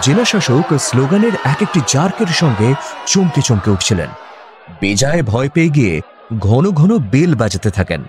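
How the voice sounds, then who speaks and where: A man's voice: medium pitch, sing-song, moderate pace, crowd noise, lightly acted. Guy doing a wry storyteller for a radio farce, voice swings up and down, slight noise behind. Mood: lively narration. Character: wry male storyteller narrating an office farce. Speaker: narrator. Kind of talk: animated scripted narration of radio comedy. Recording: faint background noise.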